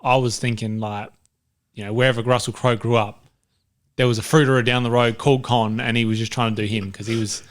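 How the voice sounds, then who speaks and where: A man with a mid-pitched voice shares hosting on a film podcast. He speaks casually, with blunt and dryly contrary replies. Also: little pitch movement, a little fast. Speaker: podcast co-host. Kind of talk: casual film review banter.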